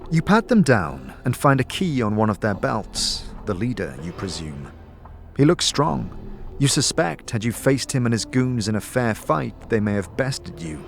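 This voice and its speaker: A man giving dramatic, descriptive second-person narration for a fantasy audio drama, deliberate and theatrical. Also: on the low side, creaky. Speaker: narrator and game-story performer. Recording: faint music behind the voice. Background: faint music.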